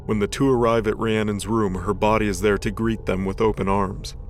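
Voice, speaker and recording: A man's voice: lowish voice, level tone, fairly quick, faint music behind. A man narrating a scripted dramatic story as its narrator.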